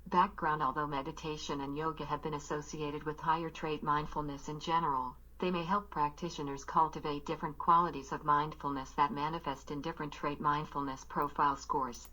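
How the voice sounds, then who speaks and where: A woman's voice: deep voice, level tone, fairly quick, few pauses, pushed and bright, lo-fi sound. A synthetic woman's voice reading academic text aloud in an impersonal, formal manner. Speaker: text-to-speech article reader.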